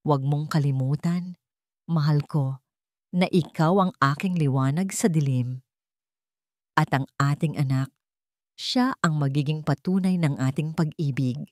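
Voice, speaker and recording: A woman narrator reads as a devoted young lover in a romance story. The voice is low, soft and earnest with a Filipino accent, in a dry, close recording. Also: measured pace, long pauses, dry recording. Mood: tender longing. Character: devoted, tender young man writing a love letter.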